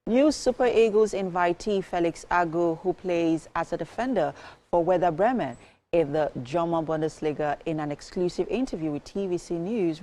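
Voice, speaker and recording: A woman's voice: low-pitched, mellow. A woman with a Nigerian accent reading a scripted sports news bulletin as a presenter.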